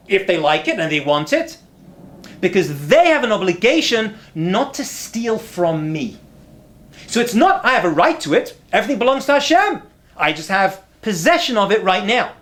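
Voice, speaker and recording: A man in his thirties, a rabbi who is not from America, giving a lively lecture to camera with punchy repetition and humorous asides. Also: high voice. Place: indoor study with bookshelves.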